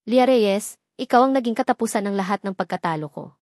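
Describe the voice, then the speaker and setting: A woman's voice: medium pitch, lively intonation, fairly quick, darkish tone, no room sound, natural voice. Devoted young millionaire lover in an audio romance, read by a woman, earnest, romantic, dry close sound. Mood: proposing, earnest. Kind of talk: audio romance story dialogue.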